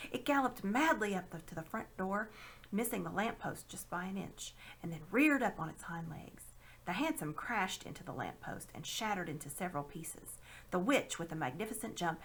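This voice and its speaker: A woman reading a children's story aloud with dramatic, expressive narration. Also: medium pitch, vocal fry, slight background noise. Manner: dramatic, animated. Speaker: storybook reader.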